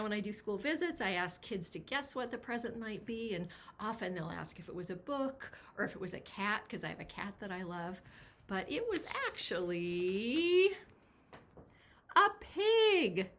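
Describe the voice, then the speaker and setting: A woman's voice: medium pitch, a little hiss or hum. A woman who writes picture books, speaking expressively to children as she introduces and reads a storybook, with emphasis on key words. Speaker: children's picture book author. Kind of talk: chatty introduction and animated storybook read-aloud.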